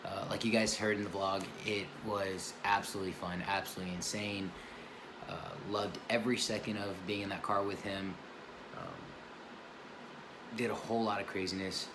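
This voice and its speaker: A man with a raspy, sore voice, talking casually to camera in a car vlog with lots of fillers. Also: deep voice, little pitch movement, normal speed. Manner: laid-back, rambling with many fillers. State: sore, hurting voice. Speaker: car vlogger. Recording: clear background noise.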